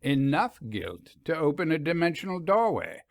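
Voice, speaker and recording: A man's voice: a little high, sing-song, normal speed, vocal fry, no echo, studio quality, pitched above the performer's own voice. A man voicing a frank, eager English professor and creature hunter in a storybook, with a scholarly, persuasive manner.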